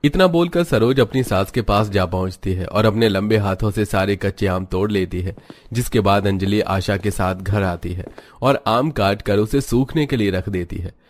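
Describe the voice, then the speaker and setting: A man's voice: lowish voice, normal speed. A man telling a scripted moral story as its narrator.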